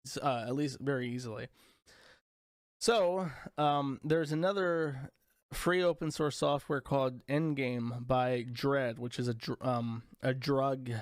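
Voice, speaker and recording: A man's voice: mid-range voice, no room sound, clean studio sound. A young man hosting a casual livestream, rambling, crude and sarcastic as he talks to chat. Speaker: livestream host.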